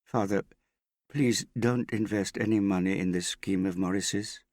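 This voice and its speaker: A man's voice: lowish voice, lively intonation, normal speed, a little mellow, no room sound, studio quality, pitched above the performer's own voice. A man voicing an earnest, worried and stubborn young woman in an audiobook, serious and insistent in manner. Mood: distressed. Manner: serious, plain-spoken, insistent. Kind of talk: audiobook character dialogue.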